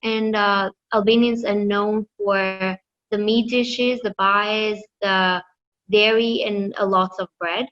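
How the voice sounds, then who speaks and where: A woman giving a class presentation with slides, pausing often with fillers and restarting her sentences. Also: medium pitch, steady tone, fairly bright tone, muffled recording. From Greece. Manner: hesitant, many fillers and restarts. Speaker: student presenter.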